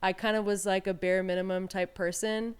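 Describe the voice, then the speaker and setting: A woman's voice: mid-range voice, little pitch movement, a little fast, clean tone. A woman in her thirties, from Peru, speaking candidly and reflectively as an interview guest, with frequent fillers and restarts. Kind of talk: casual conversational interview.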